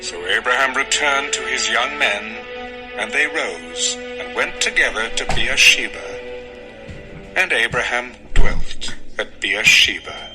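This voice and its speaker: A man narrating a dramatized scripture text in a solemn, measured, formal style. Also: medium pitch, lively intonation, poor audio. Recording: music under the voice.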